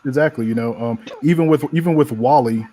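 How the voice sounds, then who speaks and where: A man from North Carolina talks through his views on a comics panel in a loose, conversational way. His voice sits at an ordinary pitch, and he often repeats words while he gathers his thoughts. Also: a little fast, mellow. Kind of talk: casual panel discussion.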